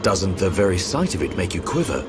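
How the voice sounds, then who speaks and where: A man acting a grave, principled warrior in a video game, speaking in a formal, deliberate manner. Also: low voice, sing-song, heavy background noise. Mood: wary, serious. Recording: game audio with music bed.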